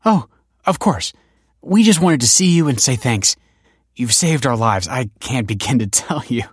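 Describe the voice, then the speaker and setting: A man voicing an overjoyed young new mother who gushes with gratitude, in a pitched-up voice. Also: lively intonation, moderate pace, darkish tone, husky, no room sound, clean studio sound. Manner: gushing, breathless with gratitude.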